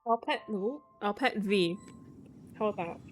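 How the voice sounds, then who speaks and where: A woman's voice: medium pitch, moderate pace. A woman livestreaming a game, chatting casually in a playful, rambling way. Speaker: VTuber game streamer. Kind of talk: casual livestream chat while gaming. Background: loud game music.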